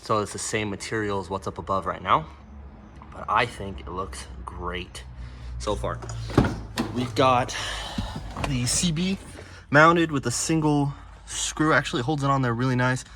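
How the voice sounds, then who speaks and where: Casual man vlogging over background noise. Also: mid-range voice. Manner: easygoing, offhand. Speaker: vlogger showing a DIY car build. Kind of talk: casual unscripted show-and-tell. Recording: clear background noise and ambience.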